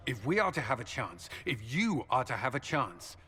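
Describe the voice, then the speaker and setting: A stern, clipped military commander. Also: male voice, mid-range voice, big pitch swings, moderate pace, bright, forceful tone, husky, heavily acted. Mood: grim. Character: stern male military commander, ex-Templar, grim. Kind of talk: video game cutscene acting. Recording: long hall reverb.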